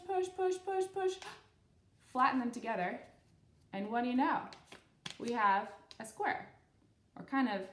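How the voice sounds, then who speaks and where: A woman in her thirties hosting a children's storytime, explaining things simply and encouragingly as she reads and guides young listeners. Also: a little high. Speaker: children's storytime host and craft instructor. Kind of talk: read-aloud story and step-by-step craft instruction for kids. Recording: roomy, echoey room sound.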